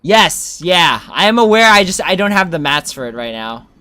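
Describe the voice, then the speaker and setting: A man's voice: high-pitched, sing-song, moderate pace, sharp tone, dry recording. A young man giving casual livestream game commentary, thinking aloud as he plays and chatting with viewers. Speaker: game streamer.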